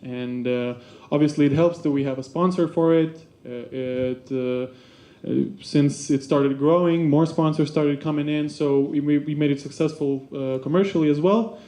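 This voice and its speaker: A man giving a seminar presentation for a basketball club, halting with many fillers and restarts. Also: fairly high pitch, mellow.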